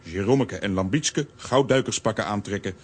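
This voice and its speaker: A big strongman hero, with a lowish, husky voice. He speaks in short telegram phrases, calm and practical, as in a children's cartoon radio play. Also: moderate pace. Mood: matter-of-fact. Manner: clipped telegram sentences without pronouns, talks of himself by name. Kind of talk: children's audio drama acting.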